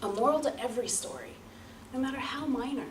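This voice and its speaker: A woman delivering a rehearsed storytelling speech as a public speaker, theatrical and emphatic, with deliberate repetition and pauses. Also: a little high, lively intonation. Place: room before an audience. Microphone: distant microphone. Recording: roomy, distant mic, light background noise.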